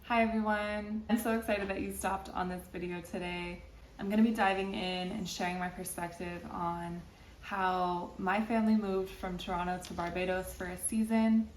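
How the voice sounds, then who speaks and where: A woman from California shares her thoughts in an earnest, reflective vlog, with a gentle, encouraging way of speaking. Her voice sits in a roomy space, picked up from a little distance, with a faint hiss underneath. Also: medium pitch, fairly even intonation, normal speed. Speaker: vlogger. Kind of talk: casual personal vlog.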